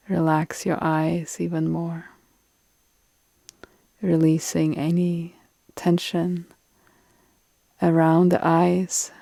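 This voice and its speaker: A woman guiding a relaxation meditation in a calm, soothing, deliberate way with long pauses, her soft phrases trailing into breath. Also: lowish voice, creaky, dry recording. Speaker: yoga nidra meditation guide.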